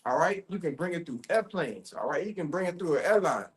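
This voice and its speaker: A man's voice: fairly high pitch, lively intonation, a little fast. A man preaching informally on the street, expounding scripture and often checking in with tags like "all right?" and "you see?".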